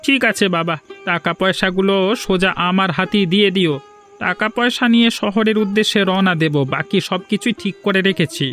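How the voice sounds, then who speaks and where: A man's voice: high-pitched, fairly quick, fairly bright tone. A man voicing a self-important, touchy grown son who works in the city, in a cartoon fairy tale. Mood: brisk, agreeable. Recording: music under the voice.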